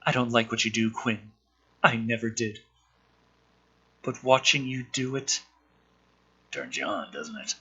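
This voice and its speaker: A man reading the part of a soldier's defiant wife in a film script, quiet, dry and knowing. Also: mid-range voice, a little slow, pauses often, breathy edge, muffled recording, full character voice. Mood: quiet, teasing.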